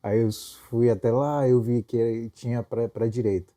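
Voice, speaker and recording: Brief: young Brazilian man co-host, very dark warm tone, relaxed and slangy. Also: medium pitch, moderate pace. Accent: Brazilian Portuguese. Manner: laid-back, informal slang. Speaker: podcast co-host. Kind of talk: casual couple conversation.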